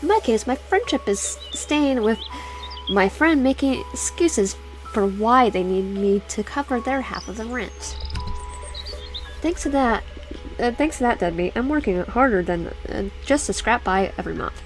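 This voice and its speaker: Young gamer woman, higher voice, reads a story game aloud, lots of restarts, soft music under it. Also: expressive. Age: young adult. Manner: halting reading with many restarts, chatty asides. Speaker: gaming YouTuber. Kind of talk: reading a visual novel aloud with commentary.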